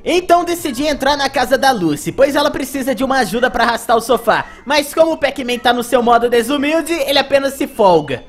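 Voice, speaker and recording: A woman's voice: high-pitched, animated, brisk, few pauses. A woman with a Brazilian accent narrating video game play as a reviewer, with music behind. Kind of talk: gameplay commentary narration.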